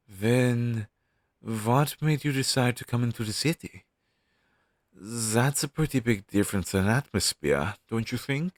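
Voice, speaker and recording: Male actor as crow demon, ex-familiar: lowish, unhurried, conversational, friendly but world-weary, for a listener-addressed audio roleplay. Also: no echo, clean studio sound. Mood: curious, friendly. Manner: conversational, hesitant, with sighs and pauses. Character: down-on-his-luck male crow demon, former witch's familiar, wistful and friendly.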